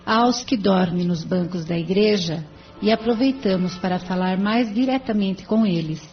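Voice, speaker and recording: Woman as a kindly, mid-pitched spirit helper. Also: normal speed, natural voice. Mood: earnest. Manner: didactic, formal, kindly. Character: serene, devoted female spirit helper explaining her charity. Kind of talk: audio novela dialogue. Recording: dull sound with faint music.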